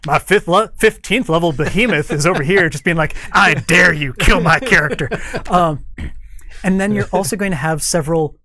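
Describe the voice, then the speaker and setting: A man's voice: fairly high pitch, moderate pace, pushed and bright. A man speaking as a guest developer in a studio interview, explaining details informally and acting out imagined players' remarks.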